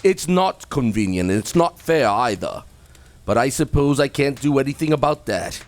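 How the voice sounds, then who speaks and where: A man playing a miserly, grumpy, cold-hearted older businessman in a radio play, with a gruff, scornful, curt delivery. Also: mid-range voice, animated, normal speed, natural voice. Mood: grumbling, impatient.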